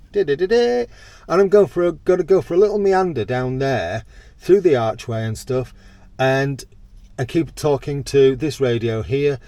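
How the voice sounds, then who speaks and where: A man's voice: medium pitch, warm tone, no room sound. A man with a British accent chatting casually to camera as a product reviewer. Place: in and around a van.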